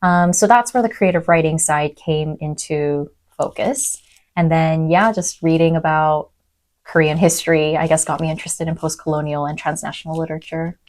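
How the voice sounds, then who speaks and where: A young adult woman lecturer who grew up in East Africa, speaking thoughtfully in a podcast interview with frequent pauses and "um"s. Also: deep voice, fairly even intonation. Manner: thoughtful, soft-spoken, many "um"s. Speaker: university lecturer.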